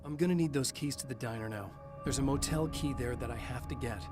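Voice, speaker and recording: A man's voice: mid-range voice, quick pace, over music, close to an everyday voice. A man playing a weary, serious writer turned hunted hero in a video game, speaking in a grave, matter-of-fact way. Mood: terse, businesslike. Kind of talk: video game acting, brooding monologue and terse dialogue.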